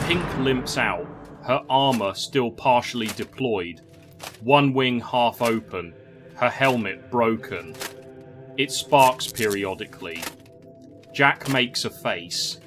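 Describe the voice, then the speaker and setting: A man reading scripted narration for an audio drama. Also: medium pitch.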